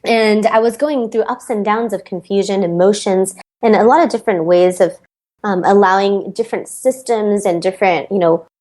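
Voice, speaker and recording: A woman's voice: mid-range voice, moderate pace, darkish tone. A woman with an American accent, a performance coach, giving reflective interview answers with frequent ums.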